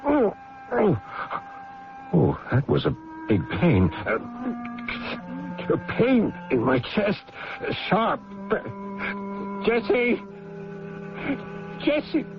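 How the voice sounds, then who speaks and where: Guilt-ridden, brooding loner in vintage radio play; slow, halting, widely swinging delivery, dull sound with music. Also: male voice, high-pitched, wildly swooping pitch, heavily acted. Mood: pained, frightened. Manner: halting, brooding soliloquy with outcries. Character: lonely, guilt-ridden man, brooding, stricken with chest pain. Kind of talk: old-time radio drama acting. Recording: dull old radio recording with music behind.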